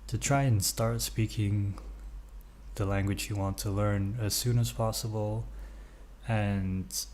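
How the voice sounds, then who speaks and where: A young English man who grew up in Norway, vlogging in a relaxed, hesitant way with many ums and uhs while he stretches at home. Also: fairly low pitch, a little hiss or hum. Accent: English with Norwegian upbringing. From England. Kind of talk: casual vlog narration.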